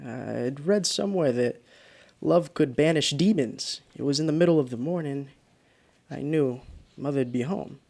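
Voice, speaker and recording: An actor playing a frightened, exhausted driver in a radio drama, halting and muttering to himself. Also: male voice, a little high, creaky, mellow. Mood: anxious, hopeful. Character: frightened, exhausted male driver haunted by a phantom. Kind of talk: radio drama monologue and dialogue.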